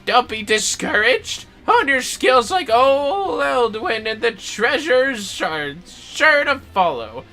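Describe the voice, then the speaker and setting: A boozy old male treasure hunter for a fantasy game. The voice is raised high and bright, swinging widely with boastful, scolding, piratical bluster. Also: sing-song, faint music behind, exaggerated voice. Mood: boastful, instructive. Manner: theatrical, piratical rustic bluster with drawn-out words.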